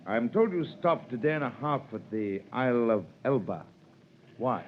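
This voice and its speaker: An actor playing a businesslike middle-aged shipowner in a 1930s radio drama, measured in manner, on a tinny, hissy old recording. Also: male voice, medium pitch, expressive, lightly acted.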